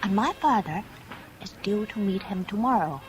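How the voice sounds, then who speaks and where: An actress playing a composed, businesslike woman in a radio drama, speaking in a measured, precise and discreet way. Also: mid-range voice, lively intonation, close to an everyday voice. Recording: noisy old radio drama recording.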